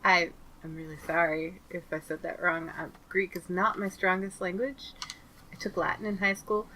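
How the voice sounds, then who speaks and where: A woman's voice: lowish voice, little pitch movement, normal speed, sharp tone, a little hiss or hum, poor audio. A woman co-hosting a podcast, chatting casually, laughing often and acting out little quotes as she recaps. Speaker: podcast co-host.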